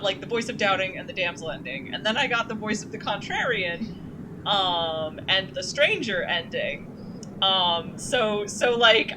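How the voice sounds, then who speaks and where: A woman's voice: fairly high pitch, background noise. Chatty, playful woman streamer. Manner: chatty, playful, quick reactions. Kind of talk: live game commentary. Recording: voice with game music behind.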